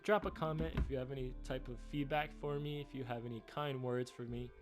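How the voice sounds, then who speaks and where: A man in his twenties rambling through a personal update, halting with many fillers and restarts, subdued by a rough time. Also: mid-range voice, fairly even intonation, music in the background. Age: 20s. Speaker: YouTuber.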